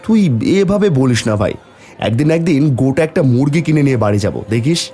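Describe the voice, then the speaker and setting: A man voices a poor village labourer in a cartoon fairy tale. He is a worried, loving father with a lamenting delivery. His mid-range voice swings a lot in pitch, over faint music. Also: very animated, fast, mellow, lightly acted. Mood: hurt, defiant. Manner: lamenting, plaintive.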